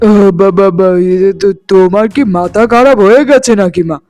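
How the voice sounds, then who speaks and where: A man's voice: high voice, moderate pace, darkish tone, faint music behind. A man voicing an irritable, selfish grown son and neglectful husband in a cartoon, impatient and grumbling. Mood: annoyed, defensive. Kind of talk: cartoon voice acting in a moral story.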